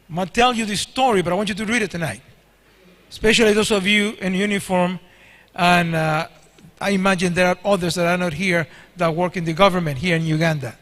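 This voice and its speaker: Older male preacher in his sixties, Spanish his first language; high, bright voice, church hall echo. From Spanish-speaking country. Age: 60s. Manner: storytelling, asks the congregation questions. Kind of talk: sermon. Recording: long hall reverb.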